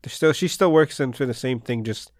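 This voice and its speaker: A man whose first language is Spanish, co-hosting a casual anime podcast and recapping plots in a relaxed, rambling way. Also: medium pitch, fairly quick, no room sound, clean studio sound. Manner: laid-back, rambling plot recaps. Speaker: podcast co-host.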